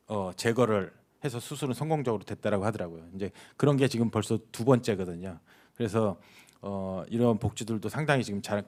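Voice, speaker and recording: A man giving an explanatory public presentation as a company executive, talking conversationally with frequent pauses. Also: mid-range voice.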